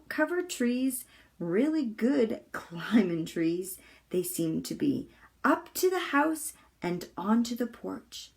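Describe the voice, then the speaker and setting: A woman reading a children's chapter book aloud with deliberate, expressive storytelling. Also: on the high side. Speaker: volunteer storybook reader.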